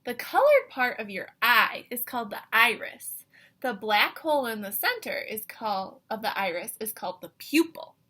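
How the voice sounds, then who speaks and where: A woman reading a picture book aloud to young children like an excited teacher, with animated wonder, questions and asides in a breathy voice. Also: on the high side, moderate pace.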